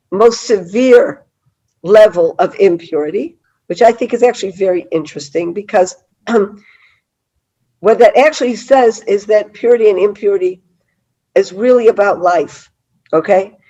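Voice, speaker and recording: Hoarse woman teaching an online Bible class. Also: medium pitch, dark tone, dull audio. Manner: chatty, many fillers and restarts. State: hoarse from a cold. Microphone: video call.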